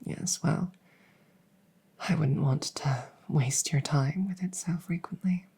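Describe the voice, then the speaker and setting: A woman playing a devoted, centuries-old vampire maid in a gentle ASMR roleplay, speaking softly and formally in a breathy near-whisper. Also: low voice, slow pace, heavily acted. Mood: gentle, tactful. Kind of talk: soft-spoken roleplay comfort ASMR.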